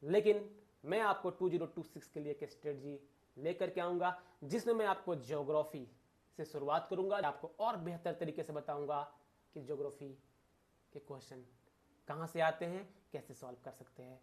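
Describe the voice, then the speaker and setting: High-pitched man teaching emphatically. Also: normal speed. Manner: emphatic, repeats key phrases, often addresses listeners directly. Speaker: exam coaching teacher and video host. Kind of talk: informal lecture addressed to students, Hindi mixed with English terms.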